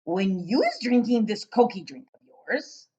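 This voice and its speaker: A woman voicing a big friendly old giant in a children's storybook, booming, emphatic and muddling words. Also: wildly swooping pitch, dark tone, breathy edge, lo-fi sound, exaggerated voice. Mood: lecturing. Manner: booming, emphatic, drawn-out exclamations. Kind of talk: children's storybook read-aloud.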